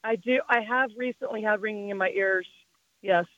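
A woman calling in to a radio show, hoarse and hesitant, with many pauses. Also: medium pitch, fairly bright tone, no echo, dull audio. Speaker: caller.

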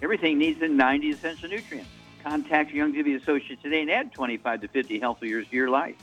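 A man of eighty hosting a radio talk show, pitching products in a rambling, repetitive way with many fillers. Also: racing, a bit bright, soft background music, rough recording. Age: 80s. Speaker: radio host.

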